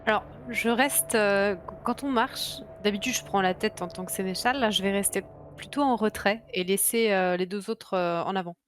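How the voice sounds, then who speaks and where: A woman's voice: mid-range voice, normal speed, hardly any pauses, a little hiss or hum. A woman playing a tabletop role-playing game, talking in an offhand, amused way about her character. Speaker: player.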